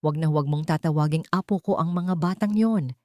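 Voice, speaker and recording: Icy rich old matron, manipulative and sharp-tongued, as a storyteller puts her on, dry close sound. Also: low-pitched, moderate pace, no echo, close to an everyday voice. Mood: cold. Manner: cold, controlled, cutting. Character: scheming wealthy older matriarch, cold and icy.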